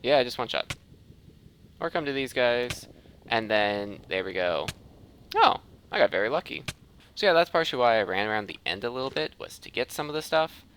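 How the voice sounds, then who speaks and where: A man's voice: medium pitch, lively intonation, moderate pace, a bit bright, a little hiss or hum. A man giving casual gameplay commentary, rambling and thinking aloud as he plays. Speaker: gaming video host.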